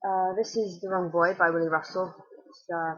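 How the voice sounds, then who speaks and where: Low-voiced Fermanagh girl on a dull webcam. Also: flat delivery, moderate pace. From Northern Ireland. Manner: chatty, blunt and wry. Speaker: vlogger. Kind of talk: casual accent-tag video. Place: small bedroom indoors. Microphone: webcam at desk.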